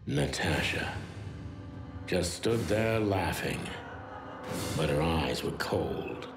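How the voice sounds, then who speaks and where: An actor voicing a world-weary, brooding noir detective in a video game, with a dry, sardonic manner. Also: male voice, a bass voice, animated, takes it slowly, heavily acted. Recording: music behind the voice.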